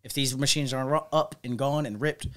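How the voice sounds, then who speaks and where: A man in his thirties co-hosting a podcast, swearing freely and telling stories with lots of energy. His voice sits in an ordinary middle pitch. He is recorded close on a stand mic in a small studio room. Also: a little fast. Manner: loose, profane, animated storytelling. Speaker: podcast co-host. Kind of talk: casual chat. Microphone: studio mic on stand, headphones.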